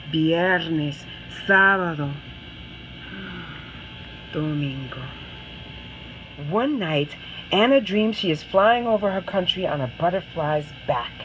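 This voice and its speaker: A woman reading a children's story aloud with dramatic, deliberate phrasing. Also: fairly low pitch, a bit bright, slight background noise, low-quality recording. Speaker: storybook reader.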